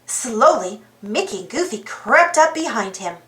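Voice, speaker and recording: Woman reading a kids story aloud, slow n high, really expressive, roomy echo. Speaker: storybook narrator. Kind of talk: animated children's read-aloud narration.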